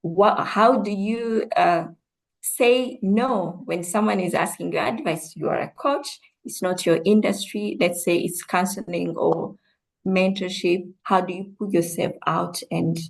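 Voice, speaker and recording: A woman from Rwanda, a guest coach, answering interview questions in a measured, reflective way. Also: on the low side, gravelly, poor audio.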